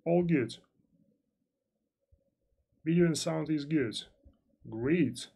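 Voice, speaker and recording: A man's voice: fairly high pitch, drawn-out words, creaky, dark tone, slightly rough. A man working as a financial analyst, hosting a live-stream trading lesson and answering viewer chat in a loose, rambling way full of fillers and restarts.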